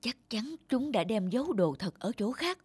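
A sly cartoon thief. He is bossy and scheming, talking in a hushed, conspiratorial way with swooping intonation. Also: very high voice, big pitch swings, normal speed, breathy edge, no echo, exaggerated voice. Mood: scheming, urgent. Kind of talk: cartoon dialogue.

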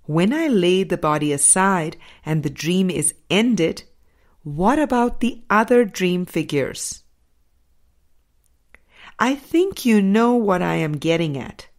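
A woman reading a scripted spiritual audiobook in a measured, deliberate way, pausing between phrases. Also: fairly low pitch, lively intonation. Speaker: audiobook reader.

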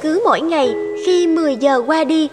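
A woman tells a fairy tale as a scripted narrator. Her voice is high, and music plays clearly behind her. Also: fairly quick.

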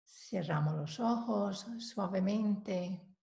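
A woman leading a guided meditation, giving calm, measured instructions with long pauses between phrases. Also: medium pitch, gravelly. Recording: roomy, echoing voice recording.

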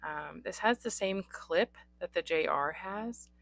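A young adult woman with a hoarse voice, hosting a casual pen unboxing with frequent ums. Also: lowish voice, a little slow, fairly bright tone, no echo. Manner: chatty, frequent ums, occasional coughs. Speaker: pen reviewer. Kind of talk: unboxing chat. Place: indoors at a desk. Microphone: overhead camera on desk, hands only.

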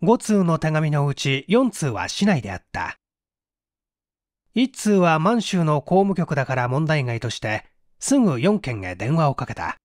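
A man's voice: fairly high pitch, very animated, measured pace, no room sound. Male mystery narrator, dry close sound. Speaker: audiobook narrator, stage performer. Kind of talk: dramatic scripted mystery narration.

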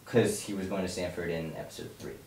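A woman's voice: very deep voice, steady tone, fast. A young woman talking casually through script notes with a production team. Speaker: member of a web series production team. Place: home dining room. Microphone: far camera. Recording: distant mic, echoey noisy room.

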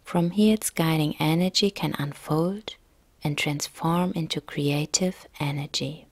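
A woman yoga nidra instructor narrating a guided relaxation meditation in a calm, soft, gentle, breathy way. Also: deep voice, unhurried, no room sound.

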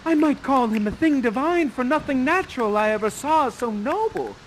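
A man's voice: extremely high pitch, expressive, moderate pace, a little hiss or hum, pitched above the performer's own voice, rough recording. A man playing a sheltered, lovestruck young maiden from a Shakespeare play in a theatrical voice. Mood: awestruck.

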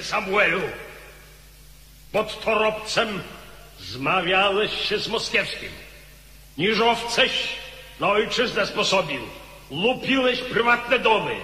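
Radio drama: unrepentant commander, solemn, slow and very forceful, echoing hall. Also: male voice, high voice, exaggerated voice. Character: stern, unrepentant military commander of the chancellor. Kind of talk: theatrical radio drama acting. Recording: echoey hall with long reverb.

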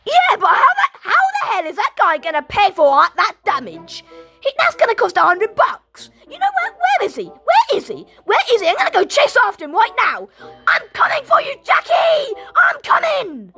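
A young woman with a very high, wildly swooping voice, shouting and repeating herself as she comments on her game. Her tone is gravelly, her throat is sore, and she coughs. Light game music plays behind her, and the sound comes from a phone microphone. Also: normal speed. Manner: excitable, shouting and repeating phrases. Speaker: gaming channel host. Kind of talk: live gameplay commentary.

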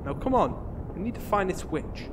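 A man's voice: high voice, very animated, moderate pace. A man playing a pompous but well-meaning knight, formal and breezy, in a comedy fantasy cartoon. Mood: insistent. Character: pompous male knight, trying to be kinder. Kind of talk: comedic animated fantasy voice acting. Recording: loud storm-like background noise.

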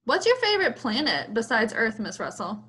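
A woman's voice: fairly high pitch, big pitch swings, moderate pace, fairly bright tone, husky. An American woman chatting casually for children as a read-aloud co-host on a video call.

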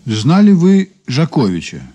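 A man's voice: a little high, big pitch swings, unhurried, mellow, lo-fi sound, soft background music. An actor in a Soviet radio drama playing a methodical investigator, formal and businesslike as he questions and recounts a case. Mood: methodical narration. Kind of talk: Soviet radio drama acting, part narration.

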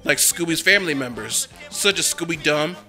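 Bright-voiced male documentary narrator. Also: on the high side, lively intonation, moderate pace, faint music behind. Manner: enthusiastic, fan-like. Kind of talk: scripted narration.

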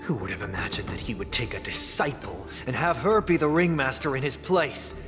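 A man's voice: mid-range voice, fairly quick, a bit bright, natural voice. A man playing a proud, indignant veteran swordsman in a video game, speaking in a formal, pompous manner. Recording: long reverb, music behind. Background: music.

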